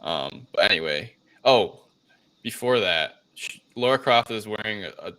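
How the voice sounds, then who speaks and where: A man hosting a casual film discussion, recapping the plot in a loose, halting way with plenty of filler phrasing. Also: fairly low pitch, lively intonation, a bit bright, low-quality recording. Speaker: podcast host recapping a film.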